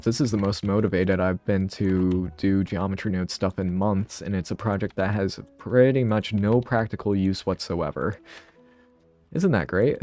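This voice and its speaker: Casual streamer, lower-pitched man with animated pitch, stuffy and sniffly, thinks out loud. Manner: rambling, self-interrupting, thinking aloud. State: sniffly from a cold. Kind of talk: casual live-stream tutorial chat.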